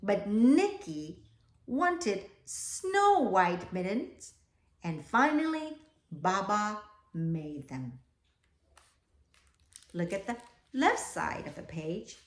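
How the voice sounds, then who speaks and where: A woman reading a children's picture book aloud expressively, often pausing to ask her young listeners questions. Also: medium pitch, big pitch swings. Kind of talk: children's read-aloud, alternating slow reading with asides about the pictures. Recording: echoey, roomy sound.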